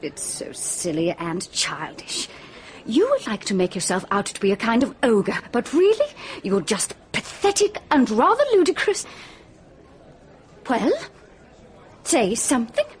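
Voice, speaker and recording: An actress playing an outspoken young woman doctor with an English accent in a radio drama, forthright and expressive. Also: on the high side, a little slow, a little hiss or hum. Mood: scornful, exasperated.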